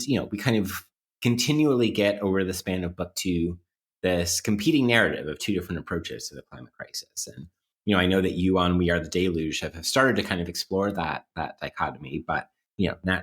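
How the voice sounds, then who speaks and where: A man hosting a casual podcast interview, starting haltingly and repeating words amid slightly mock-formal phrasing. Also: low voice, a bit bright. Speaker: podcast host.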